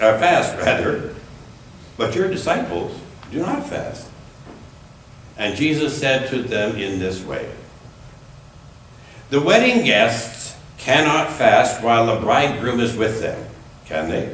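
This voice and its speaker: A man's voice: medium pitch, a bit bright. Man reading scripture in an echoing room. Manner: measured, deliberate phrasing. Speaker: scripture reader at a church service. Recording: echoey room, distant mic, faint noise.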